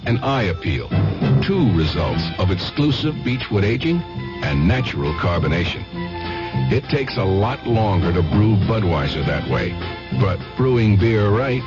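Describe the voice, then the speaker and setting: A man's voice: lowish voice, big pitch swings, measured pace. A man reading a vintage radio commercial in a conversational, persuasive way. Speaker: commercial announcer. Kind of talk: 1970s radio advertisement, scripted. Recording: dull old radio recording. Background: loud music.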